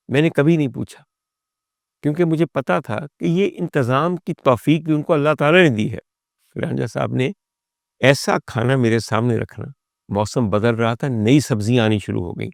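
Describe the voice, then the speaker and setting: Gravelly Pakistani man telling personal stories. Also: medium pitch, no room sound, clean studio sound. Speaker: talk show guest and storyteller. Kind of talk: personal storytelling monologue to an audience.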